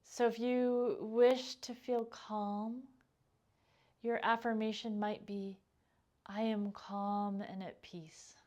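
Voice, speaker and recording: A woman leading a guided meditation as a yoga teacher, speaking gently and without hurry, her phrases trailing off softly. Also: mid-range voice, little pitch movement, slow pace.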